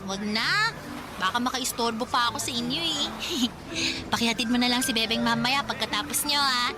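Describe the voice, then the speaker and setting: A woman's voice: fairly high pitch, normal speed, noisy background, lightly acted. An actress playing a playful young woman who teases like a matchmaking cousin in a radio drama. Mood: playful teasing. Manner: playful, teasing. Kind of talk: Filipino radio drama acting.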